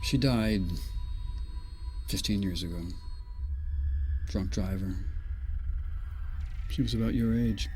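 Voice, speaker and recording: A weary, grieving middle-aged scientist in an audio drama. His voice is fairly low and dark. Faint music runs behind him. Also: takes it slowly, long pauses.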